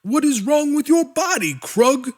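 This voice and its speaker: A man's voice: very high voice, lively intonation, unhurried, pitched above the performer's own voice. A man voicing a savage clan fighter, a living bullet, who speaks in a peculiar, repetitive formal cadence. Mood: stiff, insistent.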